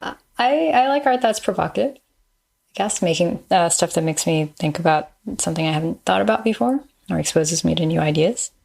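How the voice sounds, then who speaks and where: A woman in her thirties from Malaysia, a designer and engineer answering interview questions thoughtfully, often pausing with ums. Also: on the low side, expressive, vocal fry, a little mellow, husky. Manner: thoughtful, pauses with frequent ums. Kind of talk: casual interview chat.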